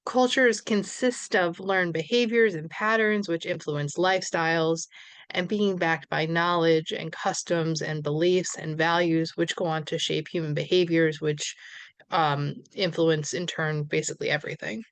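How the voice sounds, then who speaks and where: A woman with a New York accent delivers a recorded social work lecture, chatty and unscripted, with fillers and restarts. Her voice sits low and is recorded close and dry. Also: steady tone, unhurried, no room sound. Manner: conversational, with fillers and self-corrections.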